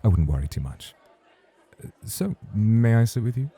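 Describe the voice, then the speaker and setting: A man playing a suave, teasing champion club host in an ASMR roleplay, with a husky voice and courtly manner. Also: lowish voice, sing-song, moderate pace, dark tone, exaggerated voice. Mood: teasing, polite.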